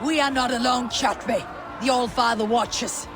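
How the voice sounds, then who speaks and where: An actor playing a proud young Viking warrior in a video game, solemn and defiant, set on avenging family honor. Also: male voice, extremely high pitch, fairly even intonation, measured pace, raised voice, over music, a crowd in the background. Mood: defiant fury. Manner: solemn, defiant, formal phrasing. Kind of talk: video game cutscene acting.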